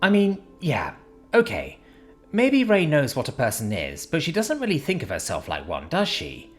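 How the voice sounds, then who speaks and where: A man's voice: medium pitch, wildly swooping pitch, moderate pace, soft background music, pitched above the performer's own voice. A man voicing a brash young woman who teases her friend and talks bluntly. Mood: teasing. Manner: chatty, mocking, blunt.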